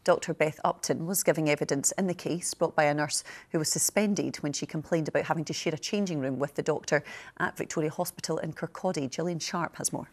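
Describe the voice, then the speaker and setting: A woman's voice: low voice, a little fast. A woman presenting a regional news programme, chatty, with tag questions to viewers and guests. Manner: conversational, adds tag questions. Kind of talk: news presenting and live interview.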